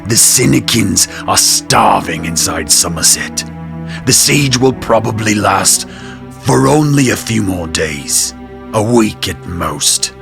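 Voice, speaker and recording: A swaggering lieutenant of an audio drama, a showman who relishes attention. His low voice creaks with gravel and moves very slowly, as if holding a crowd. Music plays behind him. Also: expressive, heavily acted. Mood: conspiratorial, boastful. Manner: slow, deliberate, conspiratorial showman.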